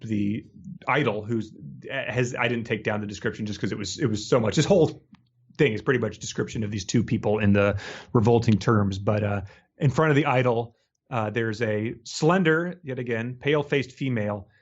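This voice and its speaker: A man's voice: mid-range voice, moderate pace, slightly rough, muffled recording. A man co-hosting a podcast in a casual, joking style, riffing comically on a book. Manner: joking, riffing, chuckles through talk. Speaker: podcast co-host.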